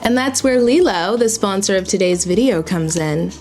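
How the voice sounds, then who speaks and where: A woman's voice: mid-range voice, animated, moderate pace, a little mellow, soft background music. A young woman co-hosting a casual chat, playful and gossipy, reading dating app messages aloud. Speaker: co-host.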